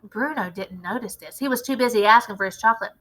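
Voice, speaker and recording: A woman reading a children's story aloud as an expressive storyteller. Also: fairly high pitch, fast talker, rough recording. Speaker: read-aloud storyteller, teacher.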